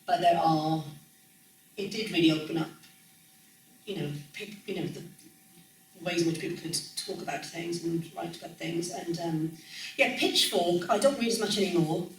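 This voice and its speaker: A woman in her forties with a soft Welsh lilt talks loosely and warmly through her thoughts, stopping to restart and laughing now and then. Her voice sits a little low. She is recorded from some distance in a roomy, echoing space with faint background noise. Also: moderate pace, long pauses. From Wales. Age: 40s. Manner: chatty, frequent ums and self-corrections, laughs easily. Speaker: music journalist guest speaker. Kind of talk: casual conversational talk. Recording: echoey room, distant mic, faint noise.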